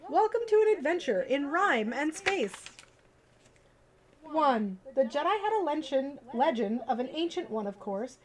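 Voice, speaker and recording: High-voiced woman, chatty bedtime story streamer. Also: a little mellow, rough recording. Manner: informal, chatty, addressing viewers directly. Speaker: livestream host. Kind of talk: casual livestream chat and children's bedtime story reading.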